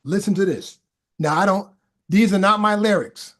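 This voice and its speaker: High-voiced man preaching fervently. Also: moderate pace, a bit bright. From Boston, USA. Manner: stammering restarts, repeats phrases for emphasis. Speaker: podcast guest. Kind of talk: casual talk building into fervent preaching.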